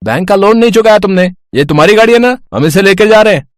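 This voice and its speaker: A man playing a blunt, forceful bank repossession man in an audio story, loud and accusing. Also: high-pitched, expressive, fast talker.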